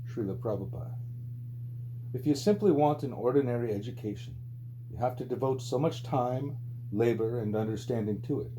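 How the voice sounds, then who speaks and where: A man reading a spiritual book aloud as scripted audiobook narration, with a mellow voice. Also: normal speed, poor audio. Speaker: audiobook reader. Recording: low hum and background noise.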